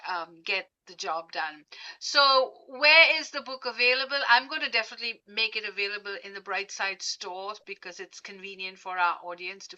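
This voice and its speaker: A woman hosting a casual interview, speaking conversationally and a bit haltingly, repeating words as she goes. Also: a little high, bright, forceful tone, lo-fi sound. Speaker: interview host.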